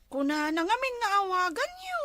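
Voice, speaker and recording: A woman's voice: extremely high pitch, big pitch swings, normal speed, sharp tone, exaggerated voice. Woman as a piercing, whiny Ilocano schoolgirl. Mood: pleading. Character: whiny schoolchild daughter asking for allowance. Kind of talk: comedy skit acting.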